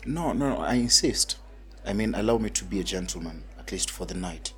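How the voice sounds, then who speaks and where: Man acting a nervous gentleman, animated pitch. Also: a little fast. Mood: polite, insistent. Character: nervous young gentleman walking a woman home. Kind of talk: radio drama acting.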